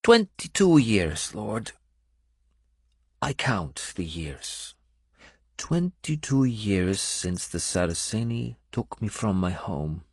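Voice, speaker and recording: A male narrator voicing a bitter, guarded foreign woman, a former slave, in halting, sparse phrases. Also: lowish voice, sing-song, slightly rough, dry recording, clean studio sound, full character voice.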